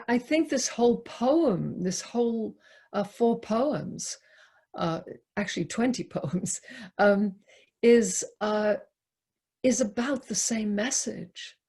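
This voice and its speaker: A woman leading a poetry discussion on a video call, explaining reflectively in deliberate, halting phrases with pauses. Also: mid-range voice, vocal fry, darkish tone, slightly rough. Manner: halting, deliberate, pausing between short phrases. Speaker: poetry reader and discussion leader. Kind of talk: reflective spoken commentary, unscripted teaching. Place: online video call talk.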